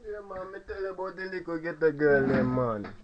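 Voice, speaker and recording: High-voiced man in mock Jamaican patois. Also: animated, fairly quick, crowd noise. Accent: put-on Jamaican patois. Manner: mock-Jamaican swagger, teasing. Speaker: comedy podcast host. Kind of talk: comic banter and storytelling. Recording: lo-fi podcast audio.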